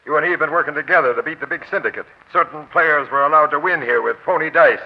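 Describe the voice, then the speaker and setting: Blunt police captain, dull old radio. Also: male voice, fairly high pitch, quick pace. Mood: forceful, accusing. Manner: blunt, commanding, dry. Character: tough, no-nonsense male police captain. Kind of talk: 1940s radio crime drama acting. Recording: dull, band-limited old radio broadcast.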